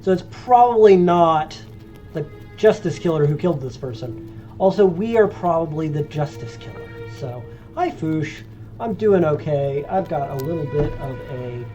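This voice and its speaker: A man with a congested, phlegmy voice, talking through a video game playthrough in a chatty, joking way. His voice sits a little high, and faint game music runs behind him. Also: lively intonation, normal speed, dark tone, low-quality recording. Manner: chatty and joking, rambling recaps. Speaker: let's play streamer. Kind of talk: casual gameplay commentary.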